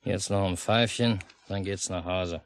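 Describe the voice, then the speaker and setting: A man's voice: low voice, steady tone, unhurried. An actor playing an unflappable, laconic fisherman father in a 1950s German radio drama. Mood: unruffled. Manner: laconic, placid, reassuring. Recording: noisy old mono radio recording.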